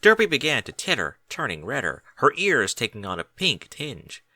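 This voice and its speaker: A man giving expressive, dramatic scripted narration of a story, with deliberate phrasing. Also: mid-range voice, no room sound. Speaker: audiobook narrator.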